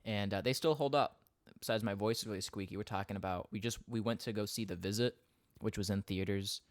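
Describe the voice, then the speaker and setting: Fast-talking young man, slightly low voice. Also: no room sound. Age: young adult. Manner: fast-talking, rambling, laughs often. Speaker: podcast co-host. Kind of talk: casual chat. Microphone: studio podcast mic.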